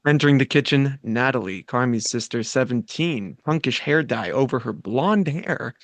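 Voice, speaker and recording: A man's voice: medium pitch, lively intonation, normal speed, dry recording. A man hosting a table read, moving between casual, playfully crude banter and reading out stage directions. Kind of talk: casual, joking group chat and script narration.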